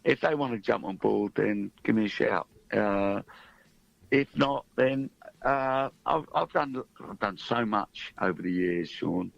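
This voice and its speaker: A man's voice: lowish voice, measured pace, creaky, muffled recording. A middle-aged man with a southern English accent, a musician guest chatting casually on the radio in a blokey way and repeating himself. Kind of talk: casual chat and anecdote.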